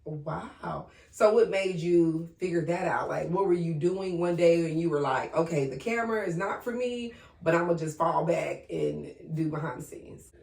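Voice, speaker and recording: A woman's voice: lowish voice, normal speed, vocal fry, few pauses, darkish tone, recorded from a distance. A woman hosting a talk show interview, chatty, playful and slangy. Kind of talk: casual interview. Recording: echoey room, off-mic.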